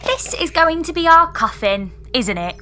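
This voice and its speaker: High-voiced weary woman space engineer. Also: very animated, normal speed, pushed and bright, faint music behind, exaggerated voice. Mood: weary, deadpan. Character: weary, sardonic female space engineer trapped in pod. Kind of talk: audio comedy drama acting.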